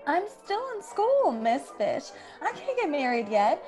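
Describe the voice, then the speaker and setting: A woman plays an earnest young college student in an old radio play. Her voice is fairly high and she comes across as practical and sincere. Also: very animated, unhurried, over music. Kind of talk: classic radio play acting.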